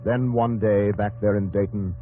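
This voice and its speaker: An American actor playing a solemn, probing narrator in dramatic 1950s radio style, addressing the hero directly. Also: male voice, on the low side, steady tone, normal speed, a little hiss or hum, close to an everyday voice. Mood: solemn, admiring. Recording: muffled old radio broadcast, music underneath.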